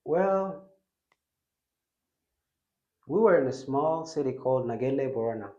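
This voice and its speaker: A man's voice: mid-range voice, expressive, measured pace, reverberant, muffled recording. A man from Ethiopia who grew up in Kenya, a guest telling his life story in a reflective way. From Ethiopia, raised in Kenya. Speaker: interview guest.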